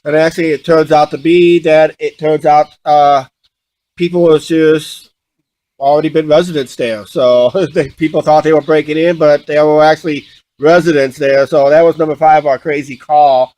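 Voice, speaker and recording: Halting man, slightly high-pitched. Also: steady tone, darkish tone, no echo. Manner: halting, repetitive, restarts sentences often. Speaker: vlog co-host. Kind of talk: casual unscripted storytelling.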